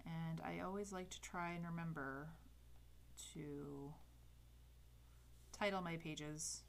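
A woman's voice: deep voice, fairly even intonation, slow pace, gravelly, slight background noise. A woman in her thirties with a Canadian accent, chatting casually while teaching a calligraphy livestream, rambling and correcting herself as she goes. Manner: rambling and self-interrupting, with light laughs. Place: home desk studio indoors. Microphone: headset.

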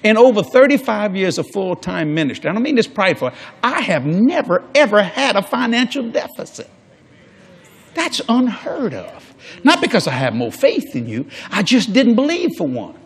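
A man's voice: high-pitched, very animated, moderate pace, slightly rough. An older man preaching a sermon on a large church stage before a congregation, folksy and jokey, acting out quoted people in different voices.

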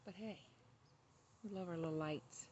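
A woman giving a casual, excited, chatty video tour, recorded outdoors on a handheld phone. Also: fairly low pitch, moderate pace, lo-fi sound, a little hiss or hum. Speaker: vlogger giving a house update. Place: outdoors in a rural yard and field. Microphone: handheld phone, behind the camera.

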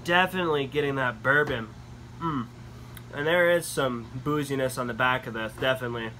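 A young man casually reviewing beer at home, talking through each sip with relaxed, enthusiastic comments and frequent fillers, filmed in a small wood-paneled room. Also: medium pitch, a little fast, slight background noise, low-quality recording.

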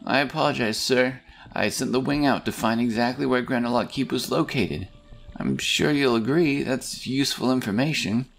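A man's voice: mid-range voice, normal speed, gravelly, a bit bright, pitched above the performer's own voice. A man voicing a small, nervous, pleading army captain in a fantasy audiobook, whining and deferential to his superior. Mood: apologetic.

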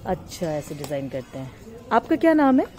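A woman's voice: sits low, big pitch swings, moderate pace, darkish tone. A woman with an Indian accent chatting casually and inquisitively as a vlog host, mixing Hindi and English. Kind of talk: casual vlog commentary and chat with artisans. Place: outdoors in an open yard.

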